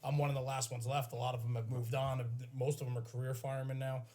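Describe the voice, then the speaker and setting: A man's voice: medium pitch, level tone, quick pace, warm tone. A man chatting casually and agreeably as a podcast interviewer. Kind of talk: casual chat.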